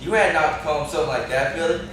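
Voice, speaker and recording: A man's voice: a little high, very fast, close to an everyday voice. Young man as a simple backwoods dog breeder, echoey. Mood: placating. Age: young adult. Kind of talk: amateur stage play acting. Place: classroom, indoors. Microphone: far camera. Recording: echoey classroom, distant camera mic, noisy.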